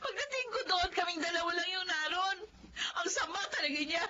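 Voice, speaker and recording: A woman's voice: very high voice, animated, fairly quick, noisy background. A woman playing a devout, faithful Filipino wife and office worker in an emotional radio drama style. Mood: tearful distress. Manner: earnest, emotional delivery. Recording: dull lo-fi audio.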